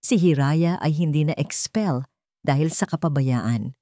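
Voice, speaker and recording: Woman voicing a cold, commanding billionaire, low-pitched. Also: measured pace, warm tone, dry recording, clean studio sound. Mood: cold accusation. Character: cold, calculating billionaire businessman father exposing lies.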